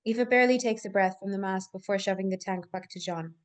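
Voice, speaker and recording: A woman's voice: mid-range voice, quick pace. A woman reading scripted stage directions as narrator at a live table read, in full descriptive sentences.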